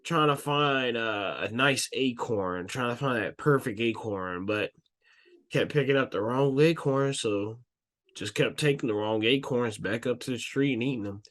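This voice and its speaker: A man's voice: mid-range voice, measured pace, a bit bright. A young man co-hosting a podcast, congested from allergies and rambling drowsily. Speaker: podcast co-host.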